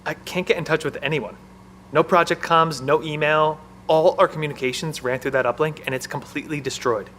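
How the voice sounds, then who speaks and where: A man's voice: a little high, fairly even intonation, fairly quick, a bit bright, slight background noise, lightly acted. An actor playing an anxious, protective male bunker technician and new father in an audio drama. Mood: grim, worried.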